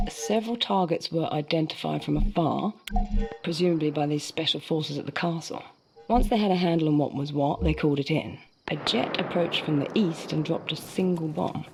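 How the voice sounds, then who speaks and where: A businesslike female interviewer from a military video game. Her voice sits a little low, and she presses each point with calm, probing questions. Faint music plays underneath. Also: vocal fry, poor audio.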